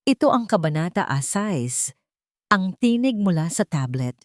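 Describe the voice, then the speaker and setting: A woman's voice: fairly low pitch, sing-song, a little slow, no echo, studio quality. A woman reading scripted dramatic narration as the storyteller of an emotional fiction tale.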